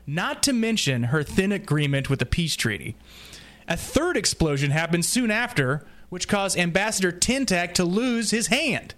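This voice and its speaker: A man's voice: fairly high pitch, fairly bright tone. A man presenting on a podcast, reading a prepared plot summary with informal asides and the odd stumble.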